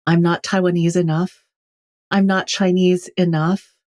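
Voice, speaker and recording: A woman's voice: on the low side, flat delivery, a little slow, a little mellow. A woman from California speaking as an author guest in an interview, thoughtful and candid. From California, USA. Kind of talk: reflective interview talk.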